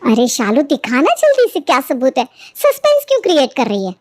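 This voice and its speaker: Woman as high-voiced, sensible elder sister-in-law. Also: wildly swooping pitch, fairly quick, no echo, studio quality. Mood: curious. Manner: placating, matter-of-fact. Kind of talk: cartoon family moral story dialogue.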